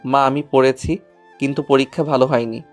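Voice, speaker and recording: A man's voice: medium pitch, steady tone, fairly quick, faint music behind, close to an everyday voice. A man playing an earnest, polite, apologetic teenage schoolboy who is eager to please, in an audio story. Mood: contrite, earnest. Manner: polite, contrite. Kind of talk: Bengali audio story acting.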